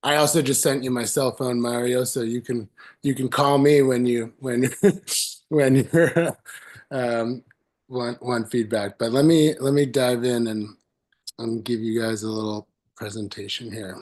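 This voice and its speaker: A man with a hoarse voice from a cold, giving a presentation on a video call with many fillers and restarts. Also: medium pitch, steady tone, measured pace, a little mellow. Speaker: presenter at community meeting.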